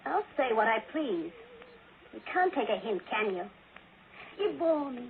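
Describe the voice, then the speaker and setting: High, scornful showgirl. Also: big pitch swings, unhurried. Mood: scornful, irritated. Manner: cutting, contemptuous. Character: scornful, sharp-tongued glamorous stage dancer, a young woman. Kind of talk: 1960s radio drama acting. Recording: tinny old radio, crowd noise behind.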